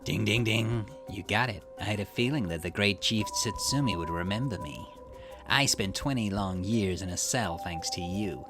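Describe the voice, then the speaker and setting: A man's voice: on the low side, normal speed, music in the background, natural voice. A man voicing a smug ex-convict murderer who taunts in a mocking, theatrical way for a mystery game. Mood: smug taunting.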